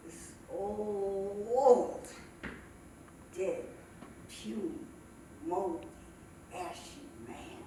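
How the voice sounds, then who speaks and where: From far off in an echoing space comes a woman playing a sassy, cranky old comedienne on stage. She takes her time over her folksy stand-up tales, stretching words and pausing dramatically, with pitch that swings widely. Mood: mischievous storytelling. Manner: folksy, drawn-out delivery with dramatic pauses. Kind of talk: theatrical stand-up storytelling monologue. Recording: echoey, noisy stage recording from far off.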